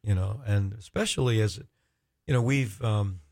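Low-voiced, effusive male radio host. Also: darkish tone. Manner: effusive, halting and searching for words.

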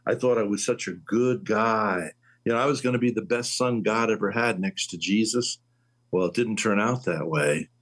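A man in his late sixties hosting a casual faith conversation, telling stories with lively swings in his voice. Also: on the low side, normal speed. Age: 60s. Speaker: host.

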